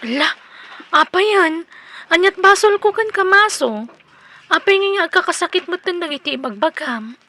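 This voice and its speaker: A woman's voice: extremely high pitch, expressive, moderate pace, bright, forceful tone, heavily acted. A Filipino woman playing a lovestruck, persistent young single villager in a comedic radio drama, pleading and coy. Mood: hurt, puzzled.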